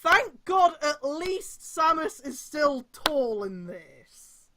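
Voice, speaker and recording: A woman's voice: sits high, very animated, extremely slow, pushed and bright. A woman reading fan fiction aloud on a stream, breaking off with exasperated mocking comments. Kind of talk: live dramatic read-aloud with mocking commentary.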